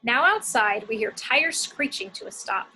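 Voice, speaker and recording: A woman's voice: a little high, lively intonation, moderate pace, noisy background. A woman reading scripted action descriptions as the narrator of a screenplay table read.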